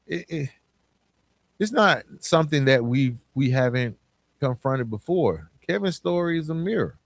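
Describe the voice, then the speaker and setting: Male, middle-aged American podcast host. Mid-range pitch, dry close sound, colloquial and emphatic motivational delivery. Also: no room sound. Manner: emphatic, colloquial, direct address with rhetorical questions and repetition. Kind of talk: motivational solo podcast talk.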